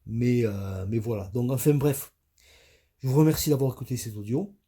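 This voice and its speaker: A man speaks in a deep, very mellow voice, his nose stuffed up from a cold. He talks haltingly as a spiritual coach, with many fillers and restarts. His pitch sits in the middle range for a man. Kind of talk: hesitant spoken audio monologue.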